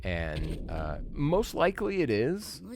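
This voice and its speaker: A man's voice: mid-range voice, wildly swooping pitch, slow, darkish tone, a little hiss or hum. A man in his thirties giving casual, rambling, opinionated commentary as he streams a video game. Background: video game music and sound.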